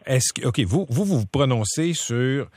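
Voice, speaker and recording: A man's voice: mid-range voice, animated, normal speed, darkish tone, dry recording. A man hosting a radio interview, asking direct questions with fillers. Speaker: radio host.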